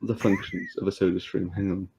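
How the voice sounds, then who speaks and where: A man's voice: deep voice, huge pitch swings, brisk, creaky, a crowd in the background. A man from Wales with a Welsh accent, co-hosting a livestream in casual chat and teasing the others with a joking manner. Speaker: streamer host.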